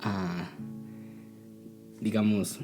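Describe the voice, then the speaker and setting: A man's voice: fairly low pitch, flat delivery, extremely slow, music in the background. A man with a Mexican accent giving a casual, explanatory opinion talk as a video blogger, often checking in with tag questions.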